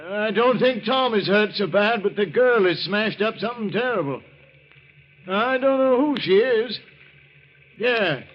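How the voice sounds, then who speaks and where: A man's voice: high-pitched, animated, unhurried. An American actor playing a folksy, worried, helpful country man in an old-time radio drama, plain-spoken. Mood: anxious, urgent. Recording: muffled, noisy vintage radio recording.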